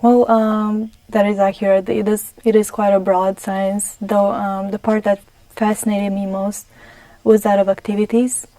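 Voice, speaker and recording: A young woman from Romania with a Romanian accent, a studio guest answering interview questions carefully, with many pauses and fillers. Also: mid-range voice, level tone, mellow, no room sound, poor audio. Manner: careful, many ums and restarts.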